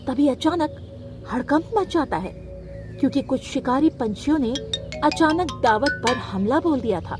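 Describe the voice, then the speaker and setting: Female storyteller: high, animated delivery of scripted children's narration over music. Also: moderate pace. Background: background music.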